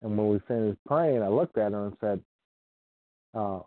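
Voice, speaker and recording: A man from Ohio giving an informal, earnest sermon with scripture reading. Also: on the low side. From Ohio, United States. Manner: earnest, unhurried, repeats himself. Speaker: preacher. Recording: dry tinny phone-like sound.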